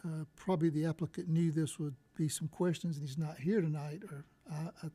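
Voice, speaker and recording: Man, soft voice, very mellow dark timbre, higher-pitched, meeting chair, procedural delivery. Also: fairly even intonation, a little fast. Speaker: chair of a county board meeting. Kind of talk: formal meeting procedure with informal asides. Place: public meeting room. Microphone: meeting microphone.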